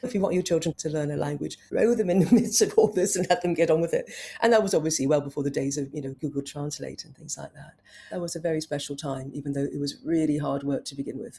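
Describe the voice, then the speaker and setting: A woman's voice: sits low, creaky, warm tone, breathy edge. A woman in her fifties, a travel writer telling stories with enthusiasm in a conversational interview. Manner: enthusiastic, anecdotal storytelling.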